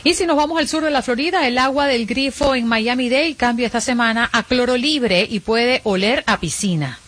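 A woman with a mixed Venezuelan and Caribbean Spanish accent hosts a morning radio show. Her voice sits a little high, playful in the chat and brisk and even on the headlines, close on a studio microphone. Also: no room sound. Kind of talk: lively morning radio chat and news reading.

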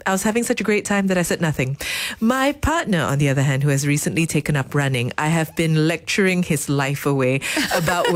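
A woman hosting a radio talk show, chatty and self-deprecating, often laughing. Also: low-pitched.

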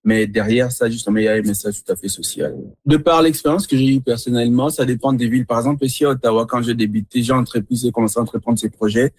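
Husky man explaining his work, dry sound. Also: mid-range voice, fairly even intonation, fast, a little mellow, dry recording. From Congo. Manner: hesitant, searching for words, repeats himself. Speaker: visual artist being interviewed. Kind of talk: reflective interview answers about own work.

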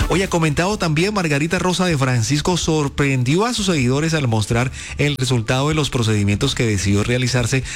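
A man hosting a chatty radio countdown, upbeat and talking straight to listeners. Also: medium pitch. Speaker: radio host. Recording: radio studio with music bed. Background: music.

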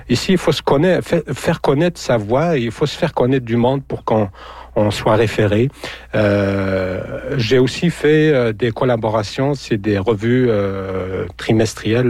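A man's voice: fairly low pitch, a little mellow, dry recording. A man from Algeria, a radio journalist, speaking as a guest in a radio interview and hesitating with many fillers and repeated words.

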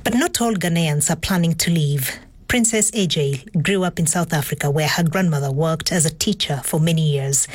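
A woman presenting a news program, reading items and interviewing guests in a measured way. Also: deep voice, no room sound. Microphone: studio mic.

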